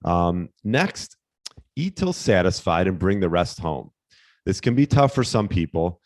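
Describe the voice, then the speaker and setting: A man hosting a casual solo fitness podcast, slightly hoarse, talking in a relaxed, rambling way with fillers and restarts. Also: low voice, measured pace, no echo. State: slightly hoarse, losing his voice. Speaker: podcast host, fitness coach.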